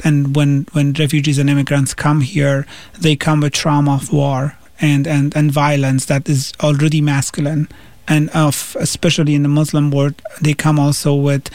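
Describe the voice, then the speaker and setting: A man's voice: fairly high pitch, a little mellow, dry recording. A man from Afghanistan, a non-native English speaker, talking thoughtfully as an interview guest. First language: not English. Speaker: interview guest, PhD student and activist.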